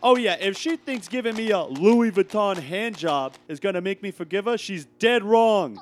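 A man's voice: sits high, lively intonation, moderate pace, slight background noise. A man playing a cocky teenage dance-team captain in a comedy sketch, brash and condescending. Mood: annoyed. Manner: brash, condescending, bro-ish.

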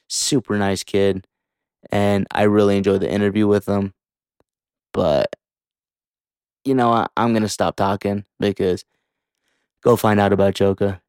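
Smooth low voiced guy hosting a podcast, super casual and slangy, dry close sound. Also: a little mellow, no room sound. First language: English. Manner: informal, hyped slangy talk with swearing. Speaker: podcast host.

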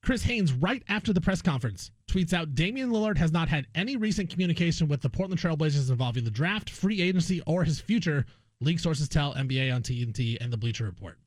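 Guy hosting sports talk, kinda high voice, dry sound, opinionated and emphatic, lots of rhetorical questions. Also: lively intonation, fast, no room sound. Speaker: sports talk show host.

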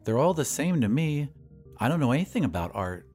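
Audio story role: coarse, formidable woman official, played by a man, earnest and naive, animated pitch, music beneath. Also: a little fast, slightly rough. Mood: naive admiration. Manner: plain-spoken, naive, earnest. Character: coarse, formidable female government hostess, simple and earnest.

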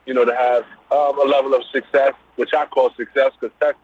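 A man's voice: medium pitch, flat delivery, a little fast, breathy edge, no room sound. A middle-aged man from Brooklyn with a New York accent, a guest talking easily about his background with loose fillers. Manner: easygoing, loose with many fillers. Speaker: guest, business owner. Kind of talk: casual interview. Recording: phone-like call line.

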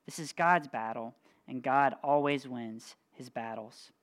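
A woman's voice: very deep voice, a little slow, pitched below the performer's own voice. A woman voicing a small, brave shepherd boy who speaks earnestly and steadily in a storybook reading.

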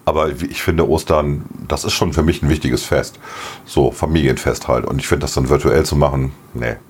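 A middle-aged man co-hosting a podcast, slightly hoarse, chatting casually and laughing often. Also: extremely low pitch, steady tone, moderate pace. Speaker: podcast co-host. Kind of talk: casual chat.

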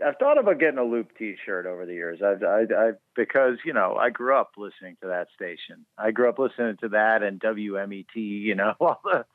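A man from Chicago, a musician guest on a phone call, talking in a relaxed, upbeat way. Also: on the low side, fairly quick, few pauses, dry recording. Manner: relaxed and upbeat, many fillers. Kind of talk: casual phone interview. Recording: tinny phone line.

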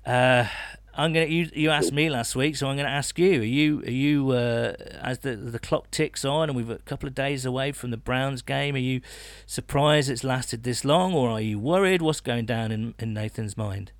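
A man co-hosting a sports podcast in casual chat, halting and often repeating his words as he goes. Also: mid-range voice, no echo, studio quality. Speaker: podcast co-host.

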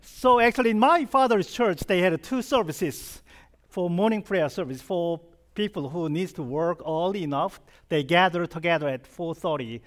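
A man's voice: high voice, moderate pace. A middle-aged man from South Korea with a Korean accent, preaching a chatty, humorous sermon and asking the congregation questions. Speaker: pastor. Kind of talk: conversational sermon.

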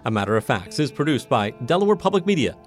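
A man hosts a podcast, speaking in a measured, formal way. Faint guitar music plays softly behind him. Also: medium pitch, sing-song. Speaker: podcast host. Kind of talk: podcast hosting and outro.

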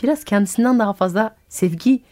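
A woman's voice: medium pitch, fast talker, dark tone, soft background music. A woman from France, by birth, hosting a radio interview in a curious, questioning way and often finishing the guest's sentences. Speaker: radio host and storyteller.

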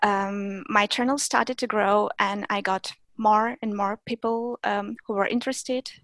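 A woman's voice: medium pitch, steady tone, measured pace, a bit bright, no echo, clean studio sound. A woman whose first language is Russian, speaking English as an interview guest about teaching German, with many fillers and restarts. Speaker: German teacher and Instagram creator. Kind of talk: interview guest, casual conversation.

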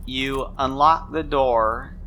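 A man's voice: medium pitch, slow, pushed and bright, slight background noise, poor audio. A man narrating a tabletop role-playing game as game master, improvising scenes in the second person.

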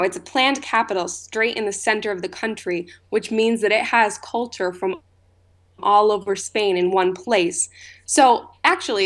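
A chatty woman, originally from Massachusetts, talks through interview answers at an ordinary pitch. She fills her sentences with "you know" and "um" as she explains things in an easy, conversational way. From Massachusetts, USA. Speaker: guest, food tour founder.

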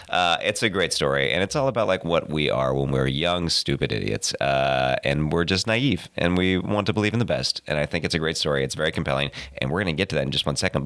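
A man hosting a comedy podcast, congested and a little raspy from a cold, upbeat and playful as he chats. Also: deep voice, no room sound. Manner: upbeat, playful, lively intonation. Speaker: podcast host. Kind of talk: casual podcast chat.

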